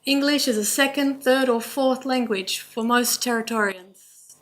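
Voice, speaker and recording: Australian woman explaining stuff slowly in short bits, kinda high voice, court talk. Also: fairly bright tone. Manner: measured, slow, in short listed phrases. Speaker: language presenter at a court inquest. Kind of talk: formal court presentation.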